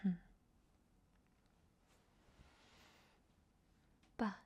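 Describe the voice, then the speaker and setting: A woman playing a flirtatious, teasing captive vampiress in a breathy, sultry ASMR roleplay whisper. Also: mid-range voice, dark tone. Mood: teasing, curious.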